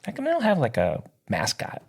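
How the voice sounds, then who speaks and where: A man with a creaky, gravelly voice and very lively pitch jokes his way through casual sports talk. He is goofy, repeating names and drawing them out for fun. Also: a little fast. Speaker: sports podcast co-host. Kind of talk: casual, joking sports banter.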